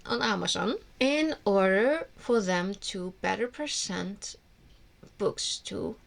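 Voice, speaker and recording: A woman with an Icelandic accent, for whom English is a second language, talks casually to her viewers. Her voice has a gravelly, creaky edge at a middling pitch. She rambles, restarts her sentences and drifts off onto tangents. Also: animated, takes it slowly. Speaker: book vlogger. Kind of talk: casual monologue to camera.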